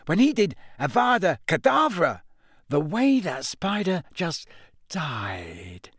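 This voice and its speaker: A man voicing an excitable, blunt teenage boy chattering eagerly. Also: a little high, slightly rough, no room sound, studio quality, pitched above the performer's own voice, exaggerated voice.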